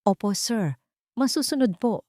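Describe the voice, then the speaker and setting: A woman narrator plays a meek, hurt Filipino housemaid who stays quietly loyal. Her audiobook lines are soft-spoken and deferential, lifted above the narrating voice, with a dry, close sound. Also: a little high, expressive, a little slow, no room sound, voice pushed up. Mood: submissive, hurt. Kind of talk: audiobook character dialogue.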